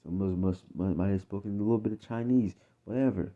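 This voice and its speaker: A man's voice: lowish voice, quick pace. An American man giving informal, off-the-cuff religious teaching in blunt, profane street talk, repeating himself and often checking that listeners follow. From United States. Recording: muffled, narrow phone-like sound.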